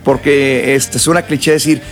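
A man from Mexico and South Texas, a musician guest chatting casually, relaxed and rambling with many fillers. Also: mid-range voice, normal speed, soft background music. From northern Mexico and South Texas. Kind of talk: casual interview chat.

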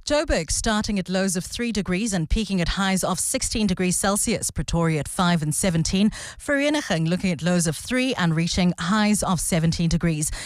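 A woman reading a radio news bulletin in a crisp, measured delivery. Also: on the low side, lively intonation, no room sound, studio quality. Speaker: newsreader.

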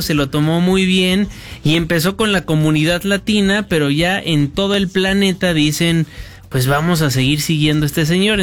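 A man co-hosting a broadcast show, telling stories in a chatty, lively way and laughing easily. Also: sits high. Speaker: co-host. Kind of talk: casual chat on a broadcast show.

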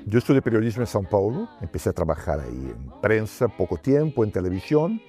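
A man's voice: fairly low pitch, very animated, fairly quick, loud music behind the voice. A middle-aged man, a documentary filmmaker, talking reflectively in an interview and checking in with little tag questions.

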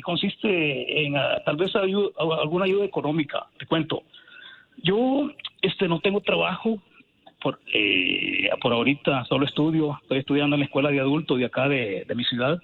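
Over a dull phone line comes a fairly high-voiced man with a Salvadoran accent. He is a caller to a radio show. He speaks politely and haltingly as he gives his personal account. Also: animated, gravelly.

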